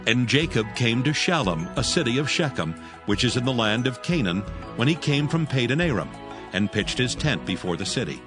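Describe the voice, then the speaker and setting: A man narrating scripture in a measured, solemn way. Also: medium pitch, sing-song. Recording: music bed behind voice.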